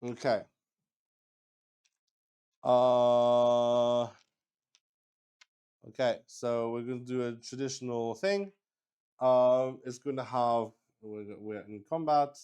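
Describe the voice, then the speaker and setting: A man improvising narration for a solo tabletop roleplaying game as its host, halting as he thinks aloud. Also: medium pitch, steady tone, a little mellow, clear, resonant tone.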